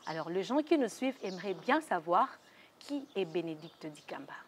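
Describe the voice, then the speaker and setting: A woman's voice: fairly low pitch, big pitch swings. A woman hosts a television interview in a studio, speaking formally and politely. She sometimes stumbles and restarts her sentences. A faint noise sits under her voice. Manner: formal greetings, some halting restarts. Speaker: talk show host.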